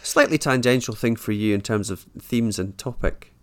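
A man's voice: mid-range voice, normal speed, a bit bright. A middle-aged man hosting a casual interview, chatty and enthusiastic, laughing often and restarting his questions.

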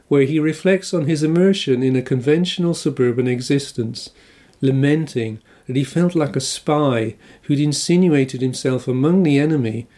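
An older man reads his own reflective essay in a steady, measured way. His accent is English, with a faint trace of an Irish R. His voice sits at an ordinary middle pitch for a man. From Wales. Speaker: novelist. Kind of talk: scripted essay reading.

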